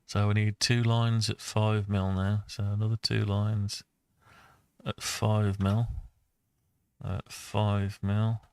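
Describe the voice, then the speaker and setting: A man with a fairly low, flat voice thinks aloud through an on-screen design tutorial. He talks very slowly, muttering his way from one step to the next in a casual, unscripted way. The sound is dry and close. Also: clean tone, dry recording. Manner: muttering to himself, talking through each step. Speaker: host of a CAD design tutorial.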